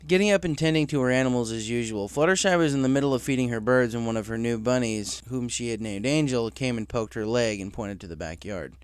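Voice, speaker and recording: A man's voice: medium pitch, fast, few pauses, smooth, no echo. A man reading a story aloud as a scripted narrator. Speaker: story reader.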